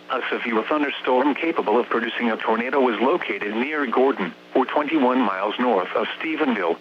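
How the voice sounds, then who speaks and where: Automated American male announcer, lower-pitched than some, formal and measured synthetic bulletin read over dull radio with faint hum. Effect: synthetic text-to-speech voice. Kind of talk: automated scripted weather bulletin. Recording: muffled, noisy radio broadcast with hum.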